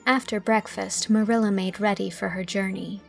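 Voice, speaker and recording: A woman narrating a literary audiobook, telling the story in a measured, expressive way from a script. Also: medium pitch, vocal fry, faint music behind.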